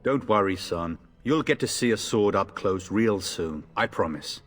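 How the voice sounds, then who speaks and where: A man's voice: lowish voice, animated, unhurried, fairly bright tone, big-room sound, faint music behind. A man playing a jaunty, teasing young noble soldier in a video game. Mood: reassuring.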